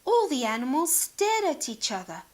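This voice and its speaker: A woman narrating a children's storybook in a dramatic, expressive way. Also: sits high, normal speed. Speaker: storybook reader.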